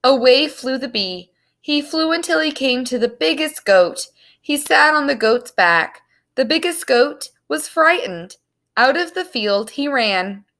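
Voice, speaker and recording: A woman reading a children's storybook aloud as narrator, with simple, deliberate phrasing. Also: high voice, animated, a bit bright.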